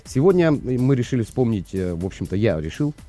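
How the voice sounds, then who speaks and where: A man's voice: mid-range voice, sing-song, a little fast, warm tone, soft background music. A man hosting a radio show, chatting loosely with many fillers into a studio microphone. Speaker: radio host. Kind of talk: morning radio show chat.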